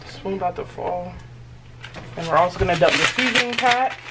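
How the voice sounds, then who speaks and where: A woman's voice: lowish voice, moderate pace. A chatty woman cooks at home and walks her viewers through each step, easygoing and reassuring. She is picked up by a camera mic across the kitchen. Cutlery and dishes clink in a noisy background. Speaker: home cooking vlogger. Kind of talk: casual cooking walkthrough.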